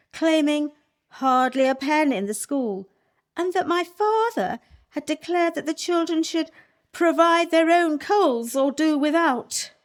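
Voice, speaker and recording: A woman voicing a prim Victorian vicar's daughter who runs the village school. She is formal and indignant, with a clear, fairly high voice, in a radio play. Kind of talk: period radio play acting.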